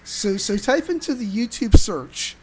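A podcast host talking casually with fellow fans in a halting, deliberate way, often repeating words, on a noisy amateur recording. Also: mid-range voice, very animated, a loud crowd. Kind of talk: casual fan podcast discussion.

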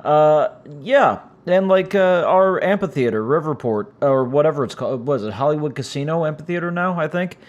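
A man with a raspy, strained voice from injured vocal cords, hosting his own podcast in a rambling, casual way full of fillers. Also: fairly high pitch, animated, moderate pace, few pauses, dull audio. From United States. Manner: rambling, frequent "uh" fillers, self-deprecating. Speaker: podcast host. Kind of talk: casual solo podcast chat. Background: cats making noise.

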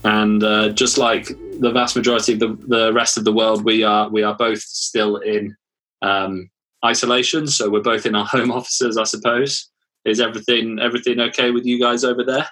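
A man's voice: on the low side, level tone, moderate pace, sharp tone, soft background music, low-quality recording. A man hosting a podcast, upbeat and given to repeating his words as he interviews a guest. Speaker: podcast host.

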